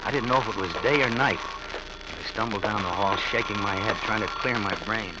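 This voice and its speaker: An actor playing a nervous, guilty husband who killed his wife, in a 1940s-style radio thriller with halting, stammering speech. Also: lowish voice, very noisy, close to an everyday voice. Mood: tense, cold. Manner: stammering, halting when questioned. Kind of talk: 1940s radio thriller acting, first-person narration and dialogue. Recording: dull vintage radio recording with music.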